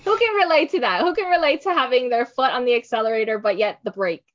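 A woman's voice: a little high, fast, highs cut off. A woman hosting an online summit in a bubbly, chatty way, laughing often. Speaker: summit host.

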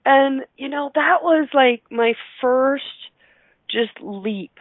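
A middle-aged woman from Canada chatting casually and effusively as an interview guest, playful and quick to laugh. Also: high-pitched, no room sound. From Alberta, Canada. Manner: effusive, playful, laughs often while talking. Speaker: interview guest, radio show host. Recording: narrow, phone-like line.

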